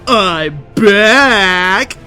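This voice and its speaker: A man plays a cocky fighter hero from a fantasy story. The voice is fairly high, the manner boastful and defiant, with faint music behind. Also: animated, very slow, pushed and bright, heavily acted. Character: brash, cocky male fighter hero of fantasy fan fiction.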